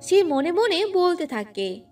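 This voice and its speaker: A woman's voice: very high-pitched, very animated, slow pace, recorded from a distance, over music. A woman narrating a scripted cartoon story as a storyteller.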